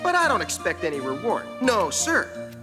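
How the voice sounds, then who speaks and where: Cocky young cartoon hero. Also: male voice, high-pitched, wildly swooping pitch, moderate pace, over music. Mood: sarcastic. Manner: smug, teasing, boastful. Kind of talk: 1980s cartoon voice acting.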